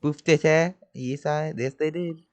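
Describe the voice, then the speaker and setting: A man's voice: a little high, fairly even intonation, slow. A young man giving casual gameplay commentary as a streamer, with slangy, sweary, jokey phrasing. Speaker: gaming streamer. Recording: game music under commentary.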